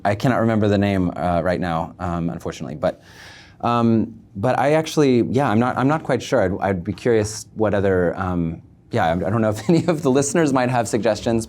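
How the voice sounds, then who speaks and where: Male interview guest, low voice, measured explanatory delivery with thoughtful pauses. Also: a little mellow. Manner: measured, explanatory, often pausing mid-thought. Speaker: guest, embassy public affairs officer. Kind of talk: thoughtful online interview answers.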